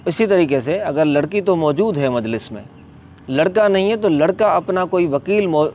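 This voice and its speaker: A man with a Pakistani accent explaining a religious ruling as an Islamic scholar, deliberate and emphatic, repeating key points. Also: lively intonation, a little mellow. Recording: clear background noise, ambient vehicle rumble.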